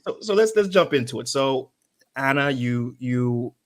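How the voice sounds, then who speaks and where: A man's voice: medium pitch, animated, a little slow. A man hosting an interview show, talking casually and rambling with many restarts. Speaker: podcast host.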